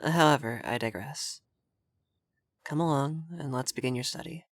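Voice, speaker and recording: A man's voice: medium pitch, normal speed, dry recording, natural voice. A man voicing a haughty, flustered, effeminate vampire lord in a scripted ASMR roleplay, prim and bossy in manner.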